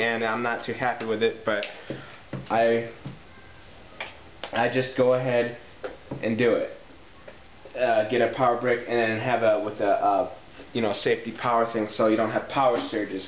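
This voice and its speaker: Guy doing a casual how-to, talks to viewers like buddies, repeats stuff, dull lo-fi audio w a bit of hiss. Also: medium pitch. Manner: informal, addresses viewers as guys and people, repeats points for emphasis. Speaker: tutorial presenter, talk box player. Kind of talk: casual hands-on how-to video. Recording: muffled, echoey, noisy amateur video sound.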